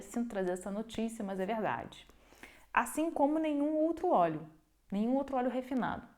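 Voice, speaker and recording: A woman's voice: fairly high pitch, dark tone. A young woman hosting a casual nutrition livestream, talking emphatically and repeating her points with checking tags. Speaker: nutrition live host. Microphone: phone livestream.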